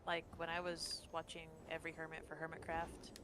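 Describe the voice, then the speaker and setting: A woman's voice: low voice, monotone, brisk. A woman casually chatting while playing a game with a friend on stream, laid-back and blunt. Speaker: co-player on stream. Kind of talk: casual chat.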